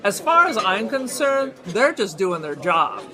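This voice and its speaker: A woman's voice: medium pitch, very animated, a little slow, sharp tone. A woman playing an opinionated, stubborn small-time crook in an audio drama, speaking bluntly. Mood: defiant, argumentative. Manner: argumentative, blunt. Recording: busy crowd chatter behind.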